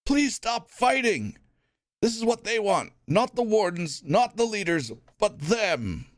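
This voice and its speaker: A man voicing a young male hero who shouts urgently, pleading with a crowd to stop fighting. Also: high voice, sing-song, slow pace, no room sound, studio quality, pitched above the performer's own voice, full character voice.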